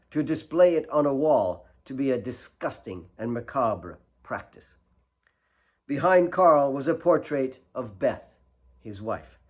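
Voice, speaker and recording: A man's voice: mid-range voice, dull audio. A man reading his own novel as a dramatic, scripted audiobook narration.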